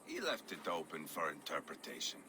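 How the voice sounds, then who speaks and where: A man's voice: a bass voice, huge pitch swings, brisk, background noise, heavily acted. An actor playing a menacing, bloodthirsty Viking warrior in a video game, taunting and swaggering as he talks. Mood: sneering.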